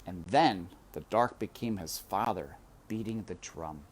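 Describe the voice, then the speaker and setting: A man reading a children's story aloud in a dramatic, deliberate manner, addressing the listener directly. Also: low-pitched. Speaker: read-aloud narrator. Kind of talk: expressive storybook read-aloud.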